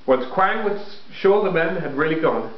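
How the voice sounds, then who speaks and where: A man's voice: a little high, animated, moderate pace. A man reading a children's story aloud. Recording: echoey room, muffled home recording.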